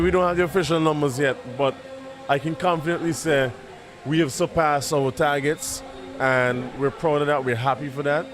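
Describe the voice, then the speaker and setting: A man answering interview questions as an event chairman, halting and repeating his words. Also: a little high. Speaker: festival committee chairman being interviewed. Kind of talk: spontaneous on-location interview. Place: at a music festival at night. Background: live concert music.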